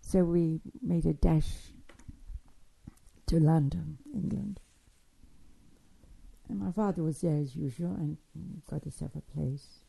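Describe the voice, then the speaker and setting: Older lady, low gravelly voice, rambling memories with lots of stops and restarts. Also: dark tone, low-quality recording. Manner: halting, digressive storytelling with many restarts. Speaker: interviewee recounting childhood. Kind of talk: spoken memoir, oral history.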